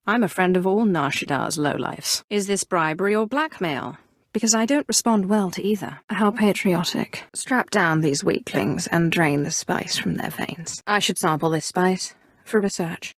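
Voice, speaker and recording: A woman playing an imperious, calculating Sith sorcerer in a video game, with a measured, haughty and coolly threatening delivery. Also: fairly low pitch, no room sound, clean studio sound, close to an everyday voice. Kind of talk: scripted game character dialogue.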